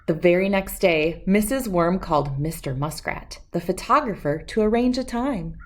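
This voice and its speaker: A woman reading a storybook aloud for children as host, expressive and speaking to listeners as friends. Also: fairly low pitch, moderate pace.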